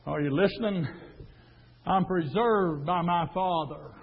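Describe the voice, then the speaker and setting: A man preaching a fiery sermon, dropping to a low murmur and then rising to a shout. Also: measured pace, creaky, husky. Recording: tinny phone-like sound.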